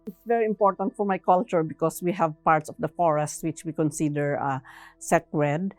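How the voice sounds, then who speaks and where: A woman giving explanatory interview answers in a measured, halting way, sometimes tagging phrases with a questioning "no". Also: deep voice, steady tone, darkish tone, dry recording, faint music behind.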